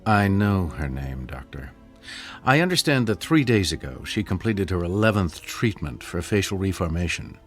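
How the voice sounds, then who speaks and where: Deep-voiced imperious government minister. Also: male voice, very animated, moderate pace, soft background music. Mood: cold, probing. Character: imperious male government minister, coldly formal and pressing. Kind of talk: radio drama acting.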